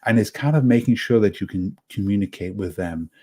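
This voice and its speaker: A man's voice: on the low side, a little fast, darkish tone. A man with a slight South African accent, presenting a live online course, encouraging and praising each participant's comment while he rambles and restarts his sentences. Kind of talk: live webinar teaching.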